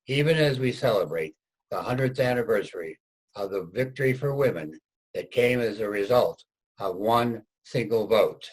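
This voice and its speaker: A man's voice: fairly low pitch, breathy edge, low-quality recording. A man reading scripted narration as a narrator in a reader's theater.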